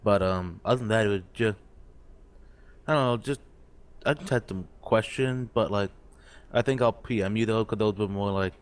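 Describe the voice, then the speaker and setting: A man's voice: on the low side, normal speed, long pauses, smooth. A man chatting casually as a game player, hesitant and rambling as he works toward his point. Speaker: role-play game player. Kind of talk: casual chat.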